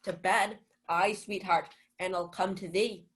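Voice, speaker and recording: A woman's voice: mid-range voice, fairly even intonation, measured pace, a bit bright, slightly rough. A woman playing a smug, besotted male steward in a Shakespeare radio play, speaking in a pompous, declamatory manner. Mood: smitten, smug. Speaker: student actor.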